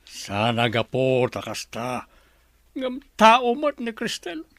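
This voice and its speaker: A man's voice: mid-range voice, huge pitch swings, slow pace, a bit bright, no room sound, heavily acted. Grumbling older grandfather, swooping intonation, dry studio sound, Ilocano accent, radio drama. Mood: exasperated.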